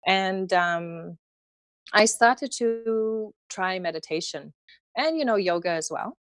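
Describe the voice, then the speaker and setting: A woman in her thirties with a South African accent, a holistic healing practitioner speaking gently and unhurriedly as a podcast guest. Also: medium pitch, animated, dry recording. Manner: gentle and unhurried, with many fillers. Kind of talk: relaxed interview conversation.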